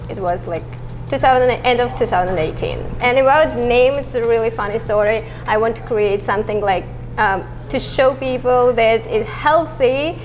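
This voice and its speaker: A woman from Russia in her thirties with a Russian accent, answering interview questions as a startup founder, haltingly and with frequent laughs. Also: fairly high pitch, soft background music. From Dagestan, Russia. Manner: halting, restarts words, laughs often.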